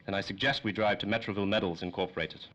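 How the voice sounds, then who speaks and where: An actor playing a fussy, self-important scientist in an old-time radio play, speaking formally and wordily. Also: male voice, low-pitched, fairly even intonation, very fast, a bit bright. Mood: persuasive. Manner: formal, wordy, pedantic. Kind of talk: 1930s old-time radio drama acting.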